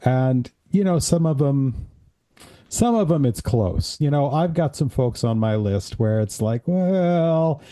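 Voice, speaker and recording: Raspy-throated man hosting a chat. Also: medium pitch, lively intonation, takes it slowly, dark tone. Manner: friendly, leads the conversation. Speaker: podcast host. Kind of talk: casual chat.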